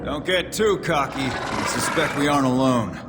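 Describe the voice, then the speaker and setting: A man playing a casual, assured robot soldier with a brisk manner in a video game. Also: medium pitch, expressive, close to an everyday voice. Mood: confident. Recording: loud music and effects behind.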